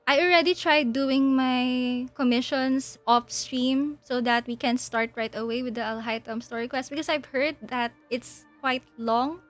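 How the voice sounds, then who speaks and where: A young woman from Asia hosting a livestream, chatting casually in a halting, giggly way. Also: high-pitched, fairly bright tone. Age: young adult. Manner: giggly, frequently laughing mid-sentence. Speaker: livestream host. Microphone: mic on a boom arm.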